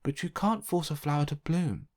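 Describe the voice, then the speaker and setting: A man voicing a patient, reassuring mother in a children's storybook, gently reasoning. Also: fairly high pitch, expressive, normal speed, pitched above the performer's own voice.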